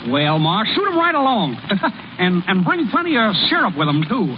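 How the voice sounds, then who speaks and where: High-voiced, lively, folksy braggart ballplayer, lazy and unbothered, old radio comedy, muffled noisy broadcast. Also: male voice. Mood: nonchalant. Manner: folksy, unhurried, boastful, ungrammatical. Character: lazy, cocky, food-loving small-town ballplayer and delivery driver. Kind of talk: 1930s-40s radio comedy acting.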